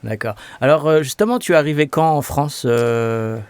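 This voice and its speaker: A man's voice: fairly high pitch, normal speed, clear, resonant tone, dry recording. A man hosting a faith interview show, asking questions in a friendly way with many fillers. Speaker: host.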